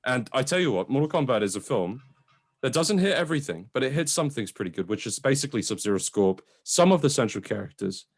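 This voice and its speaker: A man's voice: mid-range voice, very animated, fast talker. A man co-hosting a film review show, debating in a casual, argumentative and informal way. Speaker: film review podcast co-host.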